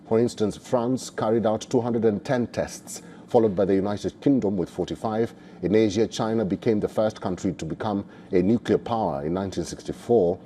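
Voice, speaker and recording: Dark-voiced man reading the news. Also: fairly low pitch, moderate pace, slightly rough. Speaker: news presenter. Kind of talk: scripted news narration.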